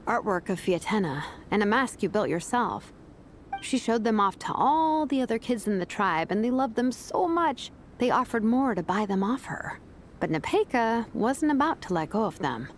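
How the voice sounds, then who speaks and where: High-voiced, self-assured big sister. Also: female voice, very animated, a little hiss or hum. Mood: persuasive. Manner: frank, measured, reassuring. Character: perceptive, self-assured, caring cool big-sister type. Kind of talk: video game voice acting.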